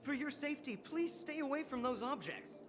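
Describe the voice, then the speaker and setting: A man's voice: very high-pitched, animated, normal speed. A man voicing a polite, officious hotel staffer in a video game, formal and courteous, hesitant when pressed. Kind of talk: video game character dialogue. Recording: game audio with background music.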